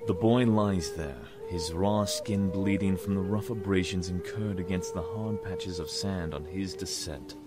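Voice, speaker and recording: A man narrates an audio drama in a very low voice. His storytelling is literary and dramatic, with music clearly playing behind him. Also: a little slow, hardly any pauses.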